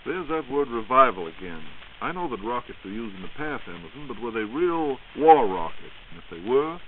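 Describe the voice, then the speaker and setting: A man's voice: mid-range voice, big pitch swings, moderate pace. A man with an American accent presenting and interviewing in scripted 1940s radio style. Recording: tinny, lightly hissy 1940s radio recording.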